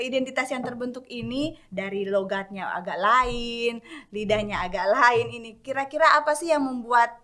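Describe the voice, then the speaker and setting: A chatty woman hosting a casual podcast interview, with a bright, forceful voice pitched a little high. She draws her guest out with playful asides and quick questions. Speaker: podcast host.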